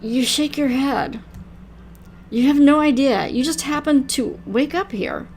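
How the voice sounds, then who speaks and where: A woman's voice: high voice, a little slow, a little mellow, slight background noise. An older woman hosting a gaming video, casually reading a visual novel aloud like a storybook. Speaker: gaming video host and reader.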